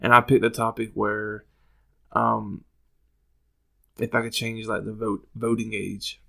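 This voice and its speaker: A man co-hosting a podcast in casual comedic banter, in a halting way that often repeats words. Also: lowish voice, flat delivery, gravelly, sharp tone. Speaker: podcast co-host.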